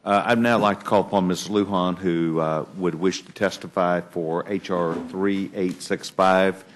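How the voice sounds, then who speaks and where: A man chairing a congressional committee hearing, formal with halting restarts. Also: deep voice, a little hiss or hum. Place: hearing room.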